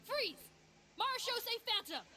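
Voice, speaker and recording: A bold policewoman from a video game. Her high voice is animated and commanding, with faint noise in the game audio. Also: unhurried, full character voice. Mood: commanding, urgent. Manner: firm, commanding. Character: bold, commanding female law officer. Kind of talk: scripted video game voice acting.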